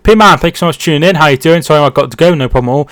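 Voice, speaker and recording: A man's voice: on the high side, racing, fairly bright tone, dry recording. Chatty man hosting a watch-along. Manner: chatty, repeats words and restarts while reading chat. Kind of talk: casual live-stream chat with commentary.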